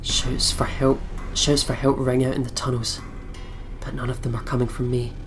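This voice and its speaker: A man's voice: mid-range voice, level tone, moderate pace, faint music behind. A man hosting a let's play, reading visual novel narration aloud in a dramatic storytelling manner.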